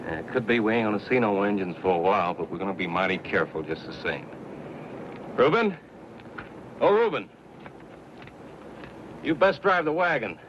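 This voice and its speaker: An actor playing a steady, commanding wagon-party leader in a radio western. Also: male voice, lowish voice, normal speed, pauses often, background noise. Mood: commanding, watchful. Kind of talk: old-time radio western acting. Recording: dull old radio recording, music behind.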